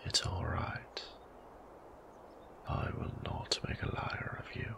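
A man voicing a sly ancient male vampire who teases and threatens in a slow, silky, creaky whisper for an ASMR audio roleplay. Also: dull audio, close to an everyday voice. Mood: soothing.

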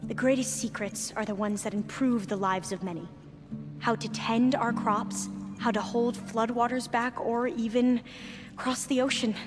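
A woman's voice: fairly high pitch, fairly even intonation, a little slow, music in the background, lightly acted. An actress playing an earnest, reverent young woman scholar in a video game, speaking formally and carefully. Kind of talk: video game dramatic acting.

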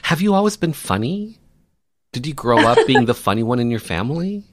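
A man speaks as a radio host interviewing a guest. His pitch moves around a lot, and the sound is dry with no room echo. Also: very animated, fairly quick. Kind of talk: interview questions.

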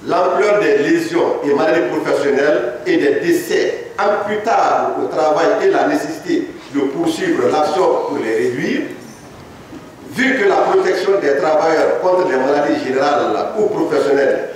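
A middle-aged man reading a formal committee report aloud at a podium, measured and listing dates and numbers. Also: fairly high pitch, a little hiss or hum. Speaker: parliamentary committee rapporteur. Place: large hall, indoors. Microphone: several podium microphones, recorded from across the room. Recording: echoey hall from far off.

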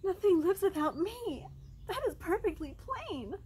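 A woman's voice: extremely high pitch, animated, measured pace, darkish tone, pitched above the performer's own voice. A woman voicing the proud rain of a storybook, crying out in a declaratory manner. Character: proud, life-giving rain, an elemental spirit.

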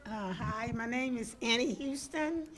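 A woman's voice: on the high side, measured pace, crowd noise. A woman in her seventies from Tennessee, introducing herself plainly into a handheld mic held for her. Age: 70s. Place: indoor community room. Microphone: handheld mic held by another.

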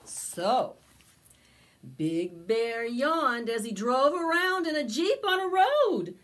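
An older woman hosting preschool storytime, reading a picture book aloud with expressive, drawn-out phrasing. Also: sits high. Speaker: library preschool storytime host. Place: small indoor space with decorated backdrop.

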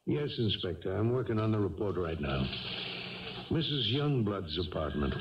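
An actor playing a dutiful police lieutenant with a clipped, official manner in a 1970s radio drama. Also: male voice, on the low side, sing-song, normal speed, background noise. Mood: businesslike. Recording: distant, dull vintage radio recording.

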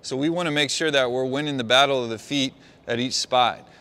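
A middle-aged man, a basketball coach, walking his players through a play on the court and calling out quick praise. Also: mid-range voice, sharp tone. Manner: talks players through a walk-through, calls out praise. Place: large indoor gym.

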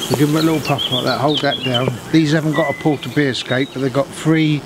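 A man's voice: medium pitch, steady tone, fast talker. A middle-aged man casually explaining hands-on beekeeping work as he does it. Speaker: beekeeper demonstrating his work. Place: outdoors in a wooded apiary. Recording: clear outdoor noise, insects and birds. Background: buzzing bees and birdsong.

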